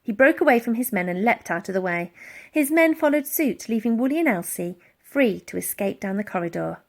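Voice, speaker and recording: Lively woman reading a children's story. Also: mid-range voice, brisk. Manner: dramatic, with acted-out sound words. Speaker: audiobook reader. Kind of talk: expressive children's storybook narration.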